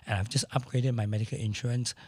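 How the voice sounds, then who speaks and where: A middle-aged man with a hoarse voice, speaking candidly as a podcast guest in casual conversation. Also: medium pitch, steady tone, quick pace, a little mellow, no echo. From Malaysia. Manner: candid, matter-of-fact, occasional restarts.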